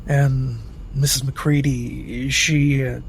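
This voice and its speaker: A man playing a cold, powerful boss in a cinematic audio drama, clipped, deliberate and quietly menacing. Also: mid-range voice, flat delivery, gravelly, a little hiss or hum. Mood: cold, commanding. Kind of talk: cinematic radio drama acting.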